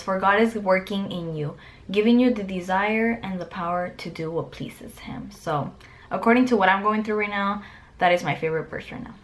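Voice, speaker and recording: A young woman from Mexico, Spanish her first language, chatting casually to camera in her bedroom and answering get-to-know-me questions with lots of "like" fillers. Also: mid-range voice. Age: young adult. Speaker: vlogger. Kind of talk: casual Q&A chat. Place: bedroom, indoors. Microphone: camera close up.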